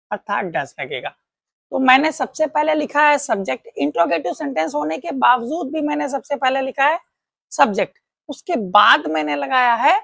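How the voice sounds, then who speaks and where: Gravelly-voiced woman teaching grammar. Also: fairly high pitch, no echo, studio quality. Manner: patient step-by-step explaining, asks and answers own questions. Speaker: English teacher. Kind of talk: classroom grammar lesson.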